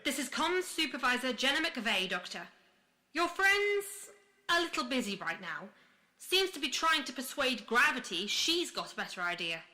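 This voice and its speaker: Woman playing a high, bright communications supervisor. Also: big pitch swings, moderate pace, heavily acted. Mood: brisk, wry. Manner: official, firm, crisp radio procedure. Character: brisk, unflappable, by-the-book female communications supervisor. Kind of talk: audio drama acting.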